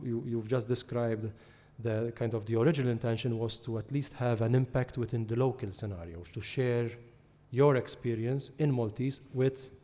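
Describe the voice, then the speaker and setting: A man moderating a book launch interview, asking thoughtful, halting questions. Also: medium pitch, warm tone.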